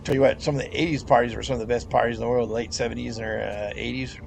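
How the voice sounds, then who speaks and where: Gravelly, rambling man in game chat. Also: mid-range voice, fairly even intonation, fast talker, a little mellow, a little hiss or hum. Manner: rambling, laughs often, swears casually. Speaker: gamer in voice chat. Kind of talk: casual gaming voice chat.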